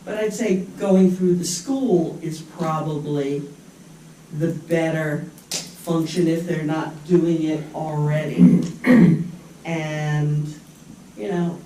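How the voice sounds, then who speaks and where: A man's voice: fairly high pitch, fairly even intonation, takes it slowly, long pauses, mellow, a little hiss or hum. An older man giving a rambling, sardonic public comment at a town meeting. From born in Japan. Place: large echoey meeting room. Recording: echoey hall from far off.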